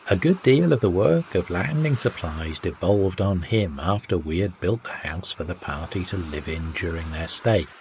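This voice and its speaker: Male audiobook narrator for old seafaring tales, lowish voice, very varied pitch, old-fashioned raconteur delivery. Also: a little mellow, a little hiss or hum. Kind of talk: scripted storytelling, old sea yarn read aloud.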